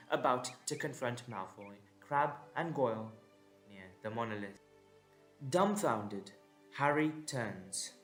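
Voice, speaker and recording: A man narrating a scripted audio drama in a dramatic storytelling style. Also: medium pitch. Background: instrumental music.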